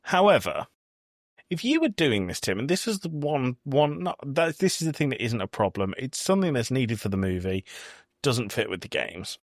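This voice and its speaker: A man's voice: mid-range voice, big pitch swings, normal speed, no room sound, studio quality. A man co-hosting a podcast, chatting casually about films in a blunt, conversational way with some swearing. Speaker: podcast host. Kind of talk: casual film review chat.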